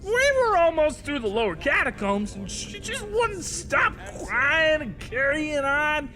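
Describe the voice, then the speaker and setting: A woman's voice: high voice, big pitch swings, unhurried, music in the background. A woman playing an eager, oblivious crypt minion in a comic horror audio drama, chirpy and blithely unbothered. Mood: chipper, oblivious.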